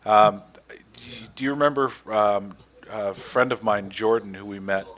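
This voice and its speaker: A man's voice: fairly low pitch, steady tone, normal speed, a bit bright. A man hosting a casual interview, making jokes and asking long, rambling questions. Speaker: show host.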